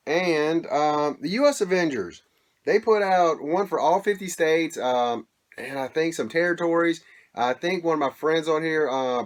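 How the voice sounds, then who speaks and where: A man with a lingering cold that leaves his voice a little squeaky, chatting casually and enthusiastically as he shows off his weekly comic haul, rambling and correcting himself as he goes. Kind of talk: casual show-and-tell chat.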